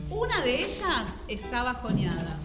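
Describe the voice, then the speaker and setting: A woman's voice: a little high, wildly swooping pitch, normal speed. A woman reading scene-setting narration for an amateur radio play. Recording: reverberant room under loud guitar music.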